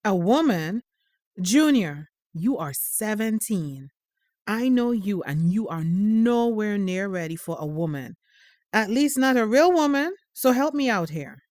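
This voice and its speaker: A protective mother acted by a woman in an audio drama, firm and pointed with her teenage son. Her voice sits at a medium pitch, in a dry, close recording. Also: expressive, measured pace, a little mellow, no echo, lightly acted. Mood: teasing, probing. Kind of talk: naturalistic audio drama dialogue.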